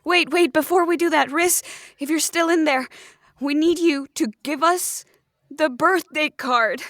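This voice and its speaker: Woman as a high-voiced, flustered polite heroine. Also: a little slow, fairly bright tone, no room sound, studio quality. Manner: hesitant. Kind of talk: improvised audio comedy roleplay.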